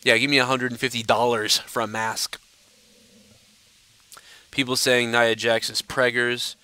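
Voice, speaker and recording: A man's voice: mid-range voice, little pitch movement, a little slow, sharp tone, no echo. A young man hosting a casual live stream, blunt and ranting, sounding a little nasal from a stuffed-up nose. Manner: blunt, ranting, loose and profane. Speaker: livestream host answering viewer questions.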